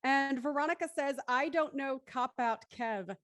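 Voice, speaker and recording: A woman's voice: high voice, a little slow, a bit bright, no echo, studio quality. A woman hosting a live stream and introducing guests in a chatty presenting style. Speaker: host.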